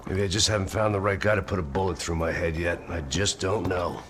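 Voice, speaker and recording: An actor playing a world-weary, cynical middle-aged American ex-cop in a video game, with a gravelly, dry, sardonic delivery. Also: male voice, sits low, steady tone, brisk, natural voice. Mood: bitter, dry. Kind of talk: brooding noir narration and dialogue. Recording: game soundtrack with loud background noise.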